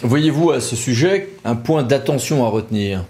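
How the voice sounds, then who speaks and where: Male interviewer with medium pitch. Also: animated, moderate pace, a little mellow. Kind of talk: formal scripted questions.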